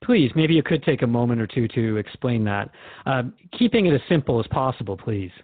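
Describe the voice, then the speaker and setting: A man's voice: medium pitch, expressive, brisk, no echo. Inquisitive male radio host, dry phone-like sound. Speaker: radio show host interviewer. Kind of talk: conversational interview in a radio drama.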